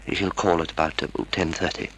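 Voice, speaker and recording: An actor playing a timid, polite, half-blind older husband who hesitates and stammers, in an old-time radio drama. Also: male voice, sits low, level tone, a little fast, fairly bright tone, a little hiss or hum. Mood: polite, hesitant. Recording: vintage radio drama recording.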